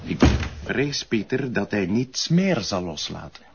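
A man's voice: on the low side, sing-song, measured pace, gravelly, noisy background, heavily acted. A man acting as a composed, polite Flemish police detective who asks probing questions in a crime drama. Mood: dry. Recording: dull, muffled audio.

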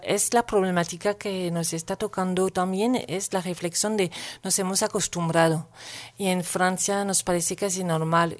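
A woman, a guest on a talk radio show, speaking thoughtfully and hesitantly, with many pauses and fillers. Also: lowish voice. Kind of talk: casual radio discussion.

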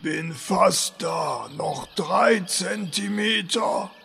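A sullen, sarcastic old-school cartoonish turtle for a comedy radio play. The voice is pitched high, slow and full of gravelly creak, grumbling along with weary indignation. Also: male voice, fairly bright tone, soft background music, heavily acted. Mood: straining. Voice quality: gravelly, raspy. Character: grumpy, sarcastic, very slow male turtle mount.

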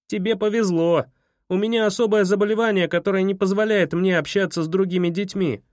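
Male reader playing an eager, lonely fourteen-year-old boy, pitched up, dry close read. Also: steady tone, fairly quick, a little mellow, no echo, natural voice. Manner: eager, pleading.

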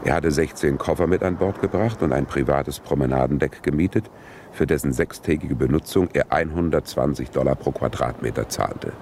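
An actor playing a solemn narrator in a radio play, reporting details in a measured, formal, matter-of-fact way. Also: male voice, rumbling low, a little mellow. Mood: solemn, factual. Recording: 1970s radio recording, background noise.

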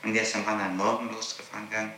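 A man's voice: on the low side, level tone, rapid-fire. A man questioning as a prosecutor, formal and argumentative, challenging the answers he is given. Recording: very echoey archival interrogation tape, faint hiss.

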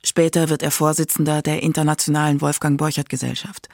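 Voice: mid-range voice, flat delivery, fairly quick, gravelly, dry recording, clean studio sound. A narrator reading scripted documentary narration for a radio feature. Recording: music behind the voice. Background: instrumental music.

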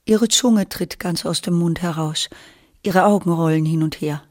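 A narrator of a literary radio play, telling the story in a measured, dramatic way with deliberate pauses. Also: mid-range voice, a little mellow, no room sound, studio quality.

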